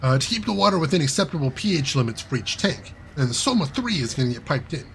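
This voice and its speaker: A man's voice: on the high side, expressive, fast, creaky, a bit bright, slight background noise. A man reading aloud and voicing a wry male hacker character who tells a self-deprecating heist story in a chatty way. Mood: rueful.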